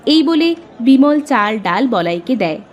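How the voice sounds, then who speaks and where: A woman's voice: fairly high pitch, very animated, measured pace. A woman reading scripted narration for a children's story.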